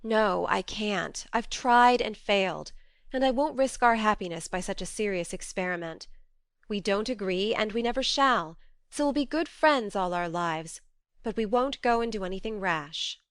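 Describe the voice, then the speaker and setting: A woman voicing an earnest, strong-willed young woman who reasons gently but firmly. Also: mid-range voice, expressive, moderate pace, dry recording, natural voice. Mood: reasoning.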